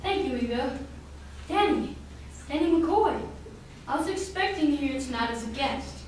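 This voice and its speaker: A woman's voice: sits high, lively intonation, normal speed. Woman as a genteel estate owner, cavernous echo. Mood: genteel, troubled. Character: genteel wealthy male estate owner hosting a reunion. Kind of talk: old-time radio drama acting. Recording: very echoey hall, distant mic, faint noise.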